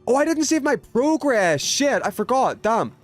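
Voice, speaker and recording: A man's voice: very high voice, very animated, moderate pace, soft background music. A man hosting a video game livestream, rambling and thinking aloud as he chats casually with viewers. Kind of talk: casual gaming stream chat, reading viewer messages.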